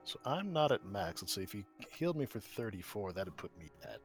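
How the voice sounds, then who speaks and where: A man's voice: lowish voice, very animated, brisk, soft background music. A man chatting casually as a tabletop game player, hesitant as he thinks aloud.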